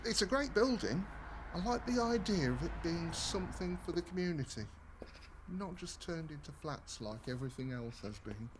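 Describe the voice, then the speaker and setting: High-voiced English family man played by an actor. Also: animated, normal speed, noisy background, lightly acted. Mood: fond. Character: friendly English family man, fond of his hometown. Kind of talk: naturalistic audio drama acting. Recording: loud background ambience.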